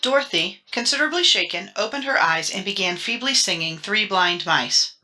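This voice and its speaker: Woman doing dramatic audiobook narration, bright forward voice, storybook style. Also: mid-range voice, animated, normal speed.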